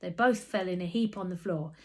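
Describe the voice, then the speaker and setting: A woman reading a children's story aloud as an expressive narrator. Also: on the low side, fairly quick.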